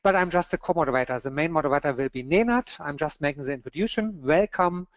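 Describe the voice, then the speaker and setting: A man from Germany with a German accent, whose first language is German, opening an online webinar as co-moderator in friendly, halting English. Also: a little high, no echo. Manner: friendly, halting non-native phrasing. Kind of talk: webinar introduction. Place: home or office in Berlin. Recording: dull, dry online webinar audio.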